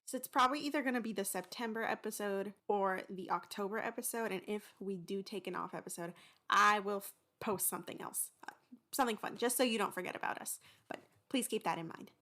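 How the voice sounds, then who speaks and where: A woman's voice: mid-range voice, brisk, sharp tone. A young adult woman giving a chatty, informal update to listeners as a podcast host. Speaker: host and producer of an audio drama podcast.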